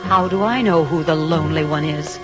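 An actress playing a headstrong, sensible woman who insists she is not afraid, firm and brisk, in a vintage radio drama. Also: deep voice, music in the background, natural voice. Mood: uneasy bravado. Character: headstrong, sensible unmarried woman, stubbornly unafraid. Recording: muffled old radio recording with hiss.

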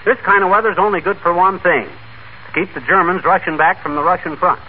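An actor playing a folksy, wisecracking small-town husband in a 1940s radio comedy. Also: male voice, high voice, a little fast, close to an everyday voice. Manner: folksy, slangy, wisecracking delivery. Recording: noisy, tinny old radio recording.

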